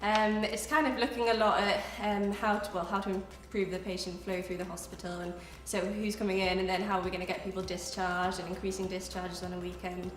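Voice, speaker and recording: Young woman from North Yorkshire, graduate trainee, quick and chatty, roomy recording with faint music. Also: medium pitch, little pitch movement. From North Yorkshire, England. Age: young adult. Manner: chatty, with frequent fillers. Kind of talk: casual interview answers. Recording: roomy sound with music behind.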